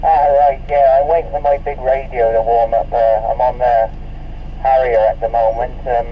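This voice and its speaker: A man's voice: medium pitch, level tone, normal speed. A man chatting casually over CB radio in a matey, informal way. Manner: matey, informal, acknowledging signals. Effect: CB radio transmission. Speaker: CB radio operator. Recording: muffled distant CB radio, faint noise.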